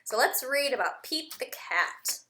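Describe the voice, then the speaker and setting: A woman teacher doing an animated read-aloud for young children, with exaggerated emphasis, simple explanations, questions and bits of singing. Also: sits high, measured pace, slight background noise. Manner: simple explanatory phrasing with repeated words. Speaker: speech-language teacher reading aloud.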